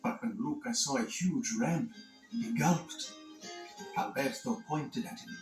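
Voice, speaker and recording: A man's voice: medium pitch, slow. Echoey male storybook narrator over music. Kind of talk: scripted children's read-along narration.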